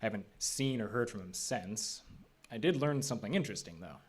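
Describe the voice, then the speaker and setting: An actor playing an affable, mildly skeptical park ranger in a live audio drama, easygoing and a little hesitant. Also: male voice, on the low side, expressive, brisk, slightly rough.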